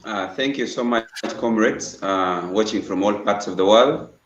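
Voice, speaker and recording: A man's voice: on the low side, a little slow. A Ugandan man, a regional political coordinator, introducing himself formally. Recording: distant, echoey, dull, hissy audio.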